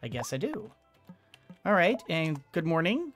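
A man's voice: on the high side, huge pitch swings, a little slow, fairly bright tone. A middle-aged man giving casual, playful, rambling commentary as he plays a game. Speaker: streamer, let's player. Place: indoors at a desk. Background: game music.

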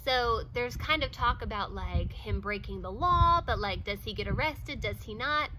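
A woman's voice: on the high side, expressive, normal speed, noisy background. A woman in her thirties talking casually to camera outdoors, chatty and filling her talk with "like" and little laughs. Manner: chatty, many "like"s and small laughs. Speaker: vlogger. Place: outdoors in front of a wooden fence.

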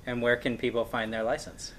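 A man's voice: mid-range voice, little pitch movement, a little fast, a bit bright, low-quality recording. Male host, 30s, American, mid-range voice, informal and conversational. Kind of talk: casual chat introducing a guest. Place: indoors on a couch in a workshop room.

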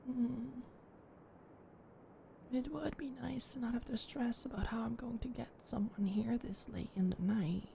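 A high-voiced young woman talks close to the mic in a shy, halting way, stumbling over her words and now and then slipping into a soft whisper. A gravelly fry runs under her voice. Faint ambient sound sits behind her. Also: fairly even intonation, slight background noise, rough recording. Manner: shy, stammering, flustered. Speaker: ASMR roleplay performer. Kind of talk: ASMR roleplay, one-sided dialogue.

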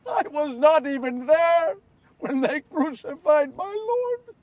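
A man's voice: high voice, expressive, normal speed, husky, no room sound, muffled recording, full character voice. A man playing Simon Peter as a big, remorseful fisherman disciple, telling his story in an earnest, confessional, theatrical dramatic monologue. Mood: bitter self-reproach. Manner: earnest, confessional, theatrical, swinging from hushed to shouting. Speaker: solo storyteller actor. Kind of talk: first-person dramatic monologue of a Bible story. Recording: distant microphone.

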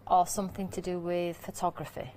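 A woman's voice: lowish voice, little pitch movement, fairly quick, a little mellow, slight background noise. A young woman and craft demonstrator, sniffling a little as she chats through each step of her live demo in a TV studio. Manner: chatty, narrates each step as she works. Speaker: craft demonstrator on a TV shopping channel. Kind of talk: live product demonstration chat. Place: indoor TV studio set.

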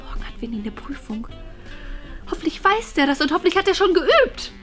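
A fussy, determined mother played by a woman with a high voice, in a family web drama. She sounds fretful and insistent, with light music behind her. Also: sing-song, fairly quick, fairly bright tone. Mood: concerned.

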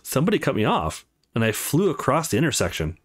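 A man's voice: mid-range voice, wildly swooping pitch, quick pace, breathy edge. A man voicing an easygoing, grateful man in a visual novel, in a conversational way.

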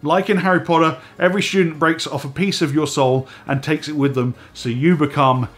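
A man in his forties hosting a casual vlog from a home studio, slightly hoarse and stuffy from a head cold, chatty and animated as he talks to the camera. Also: fairly high pitch, a little fast, faint music behind. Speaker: host. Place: home studio room with dive gear on shelves.

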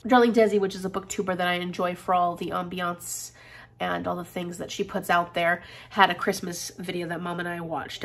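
A woman's voice: on the low side, fairly bright tone. A woman in her thirties, congested with a head cold, chatting casually and upbeat to camera in her living room. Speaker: book vlogger. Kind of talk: casual vlog chat.

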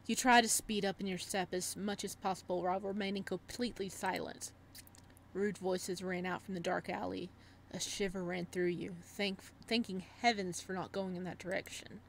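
A woman giving casual let's-play commentary and reading game text aloud, with an excitable, reactive manner. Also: mid-range voice, normal speed, vocal fry, a little hiss or hum. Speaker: gaming streamer.

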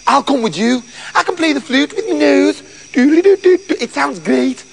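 A man as an old storybook dog, cheeky, chummy, swooping pitch, creaky edge, faint noise. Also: lively intonation, fairly quick, a bit bright, exaggerated voice.

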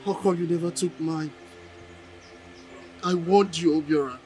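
A man's voice: a little high, steady tone, a little slow, a little mellow, a little hiss or hum, lightly acted. A man playing a grieving, bitter friend who scolds at a grave. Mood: bitter grief. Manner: bitter, reproachful.

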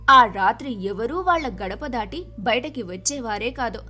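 A woman storyteller with a high, bright voice delivers scripted moral-tale narration. Faint music runs behind her.

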